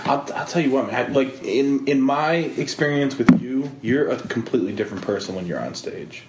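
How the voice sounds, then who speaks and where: A man's voice: medium pitch, moderate pace, very noisy. A man hosting a podcast in casual chat, easygoing, laughing often and restarting his sentences. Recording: roomy echo with faint background ambience.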